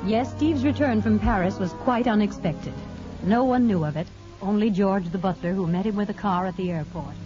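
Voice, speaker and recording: A woman's voice: medium pitch, normal speed, lightly acted. An actress playing a woman narrating her story in a 1950s radio drama. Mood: reflective. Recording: muffled old radio, music behind.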